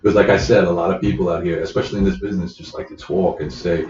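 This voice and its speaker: A low-voiced man hosting a casual podcast, talking fast and upbeat and stumbling over repeated words. He sits back from the mic, so he sounds distant in a roomy, dull recording. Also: steady tone, a little mellow. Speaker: podcast host.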